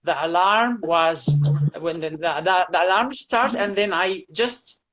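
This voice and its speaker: Tired, halting man from Syria. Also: high voice, pushed and bright. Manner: halting, repeats words, tired. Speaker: guest. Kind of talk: casual live-stream chat.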